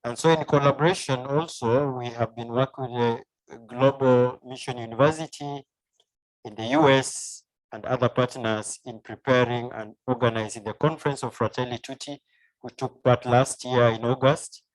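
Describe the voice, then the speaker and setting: A man with a Kenyan accent speaks at a middle pitch as an academic guest in an interview. He explains things formally and methodically, point after point. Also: steady tone, moderate pace, low-quality recording. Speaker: university professor, interview guest.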